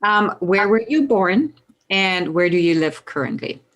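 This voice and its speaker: A woman hosting an interview, asking icebreaker questions in a halting way with frequent restarts. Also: mid-range voice, expressive, a bit bright. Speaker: host.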